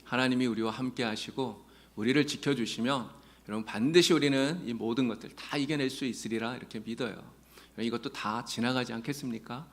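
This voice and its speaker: Gravelly-voiced male pastor preaching earnestly. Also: mid-range voice, a little fast. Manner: earnest, addresses congregation directly; tells folksy jokes between teaching. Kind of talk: sermon. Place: church sanctuary.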